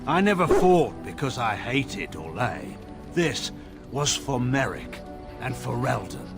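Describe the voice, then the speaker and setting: A man playing a proud, weary, sardonic veteran warrior nobleman in a fantasy video game, speaking in a wry, grave and measured way with formal phrasing. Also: mid-range voice, sing-song, vocal fry, exaggerated voice. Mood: grave, bitter, then solemn, reflective. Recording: studio voice with game music behind. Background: music.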